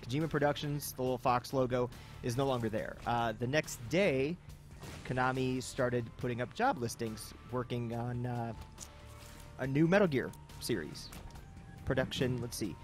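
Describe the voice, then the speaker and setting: Male podcast host, mid-pitched, casual and jokey, with light music behind. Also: moderate pace. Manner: jokey, self-mocking. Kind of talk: casual joking podcast banter.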